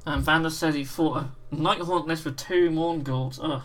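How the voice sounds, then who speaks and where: Croaky British man chatting casually. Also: on the high side, moderate pace. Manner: relaxed, reads out chat messages. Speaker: livestream host. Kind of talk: casual chat while painting miniatures.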